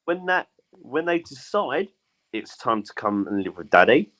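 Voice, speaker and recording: A man's voice: medium pitch, very animated, measured pace, a bit bright, a little hiss or hum. A man on a podcast giving earnest advice in casual chat, with lots of "yeah". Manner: earnest, advising, says "yeah" a lot. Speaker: podcast guest.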